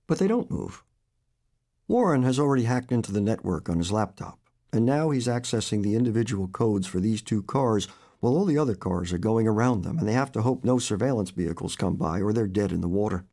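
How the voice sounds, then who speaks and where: A man, an author, reading his own short story aloud in an expressive, animated storytelling manner. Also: medium pitch.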